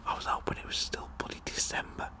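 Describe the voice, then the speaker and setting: A man's voice: fast, close to an everyday voice. A British man voicing a weary, grumbling, hard-done-by husband in a whisper, for a comedy ASMR monologue. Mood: resigned, grumbling.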